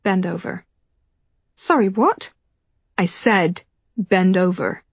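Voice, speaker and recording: A woman reading an audiobook, voicing a jealous, cruel young man in a low, cold, menacing tone. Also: takes it slowly, slightly rough, dry recording, heavily acted. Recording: dull lo-fi recording.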